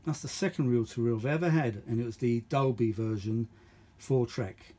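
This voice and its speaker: A man's voice: medium pitch, normal speed, dark tone. A middle-aged man chatting casually and unscripted as host of a vintage audio video, rambling and thinking aloud, often repeating himself. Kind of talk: casual unscripted hands-on chat.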